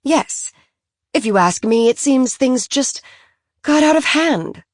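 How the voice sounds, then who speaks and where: A genteel, high-strung mother speaks in a raised, prim voice, as a woman narrator puts her on. She gushes and insists, pressing each point. The recording is dry and close. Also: lively intonation, slow, dry recording, full character voice. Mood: prim, insistent.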